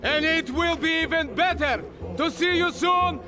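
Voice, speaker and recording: A man's voice: extremely high pitch, unhurried, music in the background. An actor playing a fiery Soviet army officer bellowing a declamatory rallying speech to his troops in a war game. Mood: fierce, rousing. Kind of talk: shouted rousing military speech in a war game.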